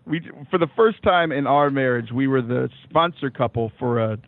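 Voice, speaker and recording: A young man with a loud voice, talking casually as an interview guest, animated, with many fillers and restarts, laughing often. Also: medium pitch, moderate pace, no room sound. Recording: dry, phone-like call line.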